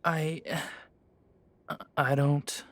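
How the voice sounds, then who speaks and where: A man's voice: on the high side, level tone, drawn-out words, fairly bright tone, dry recording. A man playing a guarded, self-doubting young half-breed werewolf in an audio drama, blunt and defensive. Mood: flustered, denying. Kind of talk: scripted roleplay audio drama.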